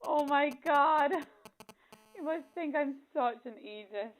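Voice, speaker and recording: A woman's voice: high voice, slow pace. An actress playing an uneasy, apologetic young woman with an Irish accent in a naturalistic radio drama, heard over a phone call. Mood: relieved, embarrassed. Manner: nervous, hesitant, apologetic. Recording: tinny narrow phone line.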